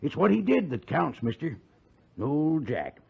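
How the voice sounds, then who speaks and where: A man's voice: on the high side, big pitch swings, unhurried, creaky, breathy edge, exaggerated voice. A man playing a folksy, sly frontier stagecoach attendant with an American Western drawl in a 1950s radio western. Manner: drawling, garrulous, salesmanlike. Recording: muffled, hissy 1950s radio recording.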